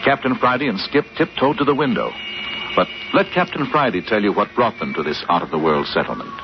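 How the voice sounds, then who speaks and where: A man's voice: lowish voice, very animated, fairly quick. A man narrating as the announcer of a 1940s radio adventure drama. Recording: muffled, noisy old radio recording.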